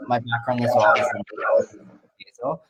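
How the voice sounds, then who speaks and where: A man from Canada talking casually and haltingly as an interview guest, through a laptop on a video call. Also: medium pitch, little pitch movement, heavy background noise. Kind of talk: casual self-introduction and chat.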